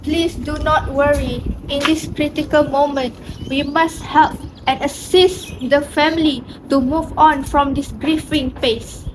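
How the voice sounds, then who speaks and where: A woman playing an earnest, caring young male villager in an amateur play, speaking deliberately. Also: sits high, fairly even intonation, background noise. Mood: earnest, consoling. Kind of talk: amateur stage-play acting.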